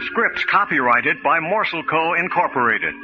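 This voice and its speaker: A man's voice: fairly high pitch, lively intonation, normal speed. A man reading dramatic scripted announcements as a radio announcer. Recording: dull lo-fi radio recording. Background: loud organ music.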